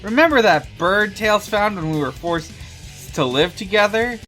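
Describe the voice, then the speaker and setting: Woman playing a lively, cocky cartoon hedgehog hero. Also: medium pitch, moderate pace, faint music behind, voice pushed up, natural voice. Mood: teasing, exclaiming. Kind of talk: fan fiction read-aloud.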